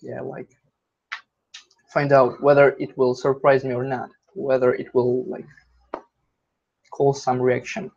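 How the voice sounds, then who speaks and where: Slow-talking man with a Russian accent. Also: medium pitch, monotone, creaky, darkish tone. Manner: slow, hesitant, with many fillers. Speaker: player. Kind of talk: casual chat. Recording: dull lo-fi sound.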